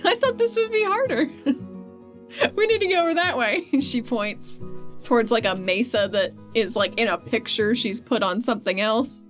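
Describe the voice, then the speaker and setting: A woman's voice: on the high side, lively intonation, moderate pace, faint music behind. A woman playing a tabletop role-playing game, chatting casually and joking as she improvises. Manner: playful, joking.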